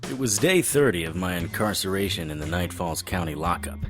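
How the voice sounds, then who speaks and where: Sardonic supernatural detective narrator, very low, lively pitch, music underneath. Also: male voice, brisk. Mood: wry narration. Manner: sardonic, flippant. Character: wisecracking, world-weary male occult investigator narrator. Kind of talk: noir-style dramatic narration and dialogue.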